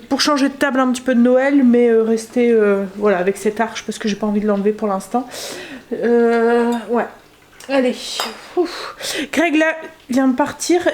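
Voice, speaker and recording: A woman's voice: a little high, a little mellow, roomy sound, slight background noise. Stuffy-nosed woman vlogging from home. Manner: chatty, many filler pauses. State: stuffy nose from a cold. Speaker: family vlogger. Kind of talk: casual home vlog chat.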